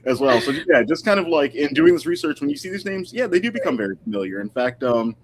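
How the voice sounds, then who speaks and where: A man speaking as a guest historian in a casual interview, informal and thoughtful, with halting phrasing. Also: fairly high pitch, big pitch swings, slight background noise.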